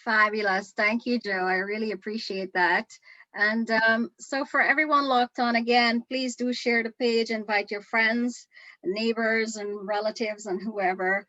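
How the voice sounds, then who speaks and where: A woman's voice: fairly high pitch, little pitch movement, a little slow, bright, forceful tone, no room sound. A middle-aged woman hosting a livestream interview, speaking over a muffled video call. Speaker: host.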